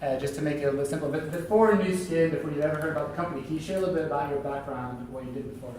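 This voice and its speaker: A man's voice: fairly high pitch, very fast, darkish tone. A man from Sweden hosting a business event, introducing and interviewing a speaker with many fillers. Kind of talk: stage interview. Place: large echoey event hall. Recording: echoey hall, distant mic, faint hiss.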